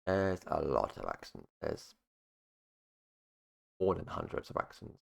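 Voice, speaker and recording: A man's voice: very deep voice, big pitch swings, a little slow, gravelly. A young British man, talking casually to camera and rambling through facts, with many fillers and restarts. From United Kingdom. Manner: rambling, full of fillers like "obviously" and "basically". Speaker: YouTuber. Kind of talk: casual explainer monologue.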